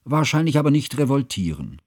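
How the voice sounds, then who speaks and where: An actor playing a bookish, self-conscious scholar who recalls his youth in measured, ornate literary narration for a radio play. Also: mid-range voice, big pitch swings, darkish tone. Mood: reflective, scrupulous. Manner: measured, formal, ornate phrasing. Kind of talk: literary first-person narration, German radio drama.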